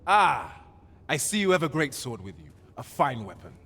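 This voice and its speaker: An actor playing a courteous guild clerk in a fantasy game, speaking formally and apologetically in old-fashioned phrasing. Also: male voice, mid-range voice, big pitch swings, moderate pace, sharp tone, slightly rough, exaggerated voice. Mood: courteous, helpful. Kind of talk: scripted fantasy game dialogue. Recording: long hall reverb, noisy ambience behind.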